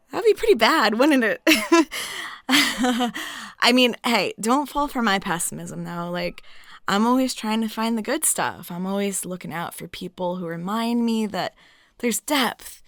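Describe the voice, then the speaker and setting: A woman playing a flirty, lonely, talkative waitress in an intimate roleplay, chatting softly and casually and sometimes dropping near a whisper. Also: fairly high pitch, animated, takes it slowly, dry recording, clean studio sound, heavily acted. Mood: wistful, earnest. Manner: soft, rambling, giggly, drops into near-whispers. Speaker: audio roleplay performer.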